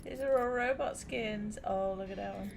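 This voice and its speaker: Creaky-voiced woman doing casual game commentary. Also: mid-range voice, normal speed, a little mellow. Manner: informal, thinking aloud, sweary. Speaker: gamer playing through a video game. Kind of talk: casual let's play commentary. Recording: clear background noise and game ambience.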